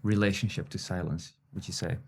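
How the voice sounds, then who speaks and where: A man hosting a conversational interview, reflecting answers back in a thoughtful, halting way. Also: deep voice, fairly even intonation, a little mellow, breathy edge. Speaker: podcast host, interviewer.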